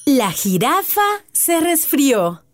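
A woman narrating a rhyming children's story in a slow, sing-song, very expressive way. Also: high-pitched. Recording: narration over loud background music.

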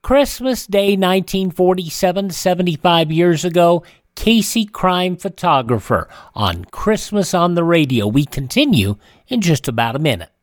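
Animated male radio host. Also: high voice, normal speed, no room sound, clean studio sound. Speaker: classic radio show host. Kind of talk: scripted narration and promo.

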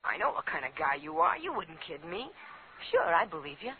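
An eager teenage boy in a 1950s radio crime drama. He is boastful and slangy, with a high, very lively, quick delivery. The broadcast sounds old and thin. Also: narrow, tinny audio. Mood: earnest. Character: eager, cocky teenage boy idolising his crook father. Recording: hissy, band-limited old radio broadcast.

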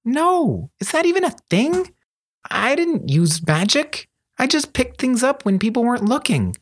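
A man's voice: high-pitched, very animated, normal speed, dry recording, voice pushed up. A man voicing a defensive, self-deprecating young wizard schoolboy who protests and mumbles, in a storybook reading. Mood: exasperated.

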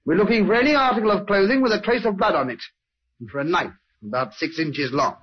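Husky, eager police sergeant. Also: male voice, high voice, fast talker. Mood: businesslike. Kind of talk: 1950s radio acting. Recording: dull old radio recording.

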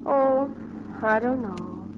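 A woman's voice: on the high side, slow, background noise. A young woman playing a spirited, self-possessed fairgoer in a 1940s radio drama, wry and plain-spoken. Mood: wry, reluctant. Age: young adult. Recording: dull 1940s radio broadcast, crowd behind.

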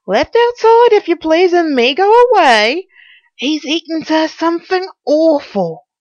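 A woman's voice: very high voice, animated, measured pace, no echo, dull audio, pitched above the performer's own voice. A woman voicing a worried, deferential female servant in a storybook, gossiping confidentially in colloquial phrases. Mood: worried, aggrieved.

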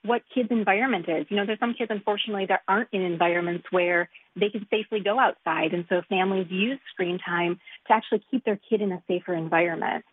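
A woman's voice: medium pitch, fast, hardly any pauses, breathy edge, no echo. A woman in her thirties, a pediatric physician, explaining things earnestly and leaning on "you know" as she talks. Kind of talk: interview over the phone. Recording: tinny phone line.

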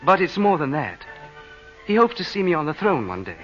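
An earnest young prince in an old radio serial. His voice sits a little high and moves freely up and down, chatty and self-deprecating. The sound is a dull vintage recording. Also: sing-song, fairly quick, a bit bright, faint music behind. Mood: confiding, earnest. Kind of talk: 1940s radio drama acting.

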